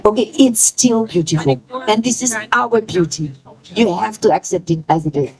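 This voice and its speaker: A woman speaking on a panel about the fashion brand she founded, halting and emotional, often searching for her words. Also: mid-range voice, a crowd in the background, rough recording. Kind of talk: panel talk.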